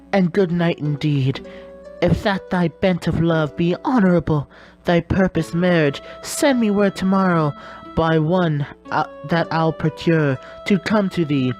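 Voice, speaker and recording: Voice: darkish tone, dull audio, lightly acted. A performer voicing a lovestruck, melodramatic young woman in a comic Shakespeare dub, gushing theatrically. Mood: earnest. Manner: theatrical, gushing, swooping into shrill calls.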